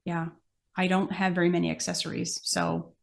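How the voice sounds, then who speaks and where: Woman, American accent, low-pitched, creaky, casual podcast chat, practical, thinking out loud. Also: little pitch movement, moderate pace. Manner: practical, explanatory, thinking aloud. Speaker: podcast guest host, amateur horse show exhibitor.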